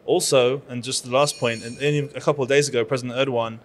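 A man in his thirties with a London-bred British accent, speaking with eager, chatty energy, full of fillers and restarts. He talks into a handheld mic at a conference booth. His voice sits at an ordinary middle pitch. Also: steady tone, fast, a little hiss or hum. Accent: British English. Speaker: conference founder and PR agency owner. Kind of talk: conference interview. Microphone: handheld microphone.

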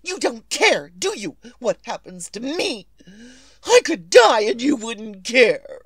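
An actress playing a nervous, imperious, querulous wife in 1940s radio suspense style, melodramatic and demanding. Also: female voice, a little high, very animated, husky, heavily acted. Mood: anxious, querulous. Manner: melodramatic, demanding, drawn-out delivery.

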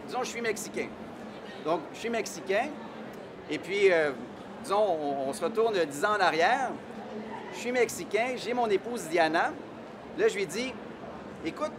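A middle-aged man with a Québécois accent presents to an audience in a large exhibition hall, speaking into a handheld microphone. His voice sits high for a man, and he often pauses on "euh" as he explains. A clear wash of hall noise runs behind him. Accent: Québécois French. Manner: explanatory, frequent hesitations with "euh". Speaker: immigration consultant. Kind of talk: conference presentation.

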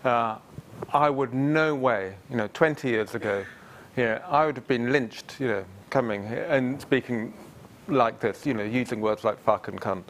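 A man's voice: mid-range voice, normal speed, a little hiss or hum. A middle-aged man giving a lecture, answering questions from the audience in a hesitant, filler-heavy way with self-deprecating humour. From England. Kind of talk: public lecture Q&A. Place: large lecture theatre with audience.

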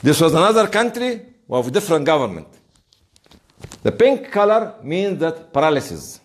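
Animated man lecturing a class. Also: a little high, moderate pace. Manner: emphatic storytelling with rhetorical questions. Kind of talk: informal interactive classroom lecture.

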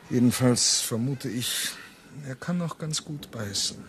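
An actor playing a solicitous circus colleague in a radio play. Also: male voice, mid-range voice, expressive, measured pace, a bit bright, a little hiss or hum. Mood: concerned, pointed.

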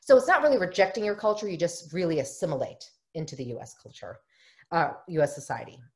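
A woman with a lowish voice leads a parenting workshop over a video call, speaking into her webcam from a home office. She is middle-aged and talks in an earnest, explanatory way. She often slips into short imitations of other people. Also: sing-song, fast talker. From United States. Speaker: family therapist leading a workshop. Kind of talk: online workshop presentation.

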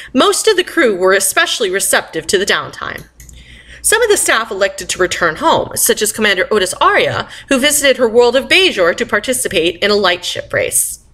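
A woman's voice: mid-range voice, sharp tone. A woman presenting a podcast news segment in a scripted narration style.

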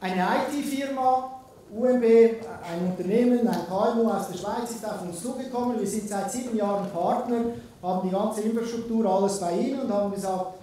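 A man's voice: high voice, normal speed, dark tone, recorded from a distance, a little hiss or hum. A man with a Swiss German accent giving a conference keynote in a conversational way, with frequent filler sounds. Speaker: keynote speaker. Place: large conference hall. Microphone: distant room recording. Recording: echoey hall, from afar.

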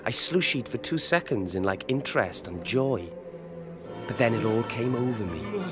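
An actor playing an insolent, volatile teenage street thug in a dystopian radio drama, speaking theatrical slang. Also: male voice, medium pitch, expressive, moderate pace, over music, lightly acted. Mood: confiding. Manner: theatrical slang-heavy speech. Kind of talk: radio drama acting and narration.

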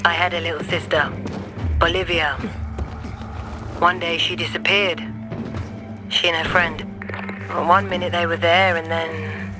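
A stranded young male soldier in a game, played by an actor, wry and vulnerable. The voice sits high for a man and is conversational, with music clearly behind it. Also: natural voice, poor audio. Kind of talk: naturalistic video game acting.

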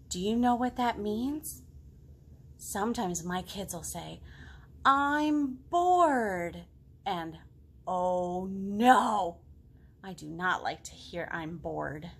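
A woman in her thirties leads a children's storytime. She speaks slowly with lively, swinging intonation. She puts simple questions to the children and leaves pauses. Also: medium pitch, slight background noise. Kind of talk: storytime talk addressed to young children. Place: home living room.

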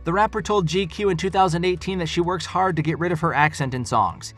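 A man's voice: high voice, fast, a bit bright, faint music behind. A man narrating a compilation video in a scripted, upbeat way.

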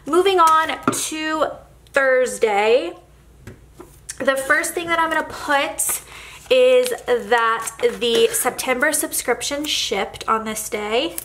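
A woman's voice: high voice, slow pace, a bit bright, slight background noise. A young woman vlogger with a congested, nasal voice from a cold, chatting casually with lots of fillers while narrating a planner session. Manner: chatty, rambling with many fillers.

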